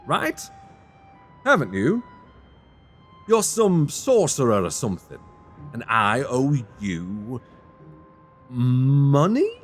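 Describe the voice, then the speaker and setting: A man's voice: a little high, full character voice. A man playing a cheeky, panicky young rogue in a fantasy audio roleplay, rambling in flustered, colloquial banter. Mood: pleading, indignant.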